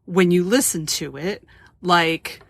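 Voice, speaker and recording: An American woman with a fairly low voice hosts a conversational interview. Her sound is dry and close, with very little room around it. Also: takes it slowly, gravelly, no echo. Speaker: podcast host interviewing guests.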